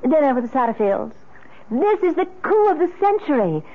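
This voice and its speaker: Polished middle-aged American society wife: witty, arch, theatrical, swooping intonation, tinny vintage radio sound. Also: female voice, high voice, big pitch swings, brisk. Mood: lively, proud. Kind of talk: 1970s radio drama acting. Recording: narrow, phone-like old radio sound.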